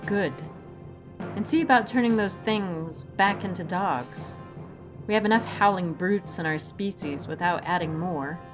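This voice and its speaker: An actress playing a composed, principled, pedantic heroine in an audio drama, speaking in a measured, dry, precise way. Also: female voice, on the low side, music in the background. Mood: stern.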